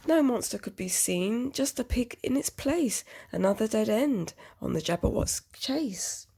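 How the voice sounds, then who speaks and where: A woman with a husky voice reading a picture book aloud to a child, expressively, with chatty questioning asides. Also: on the high side, expressive, unhurried, mellow.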